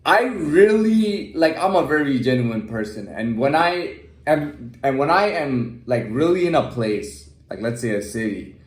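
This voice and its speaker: A man talking candidly in a casual, rambling personal monologue, repeating himself and checking that the listener follows. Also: mid-range voice. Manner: candid, repetitive, often checking with "you know what I mean". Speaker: vlogger telling personal stories. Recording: echoey, roomy sound.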